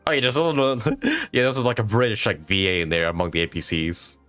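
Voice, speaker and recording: A man's voice: on the low side, steady tone, quick pace, fairly bright tone, clear, resonant tone, faint music behind. A man streaming a video game, reacting in casual, crude commentary with sarcasm and frequent laughs.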